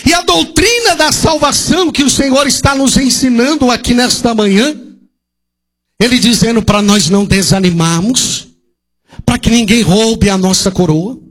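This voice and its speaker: A man's voice: extremely high pitch, normal speed, fairly bright tone. A male pastor preaching an impassioned sermon at a church service, asking rhetorical questions and calling out hallelujahs.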